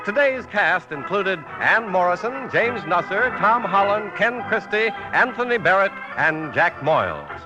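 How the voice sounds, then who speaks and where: A male American announcer from 1950s radio delivers scripted copy in a high, very lively voice. Music plays behind him on a dull, old broadcast recording. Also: a little slow, few pauses.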